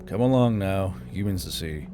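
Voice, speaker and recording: Man acting a low, measured, commanding soldier. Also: lively intonation, mellow, soft background music. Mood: brisk. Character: gruff but gentle male soldier, calm and commanding.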